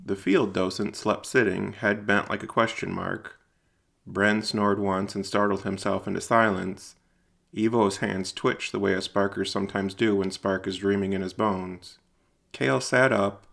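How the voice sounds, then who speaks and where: A man narrating a scripted audio drama in a measured, deliberate, literary way. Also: on the low side, creaky, fairly bright tone. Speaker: narrator and writer-performer.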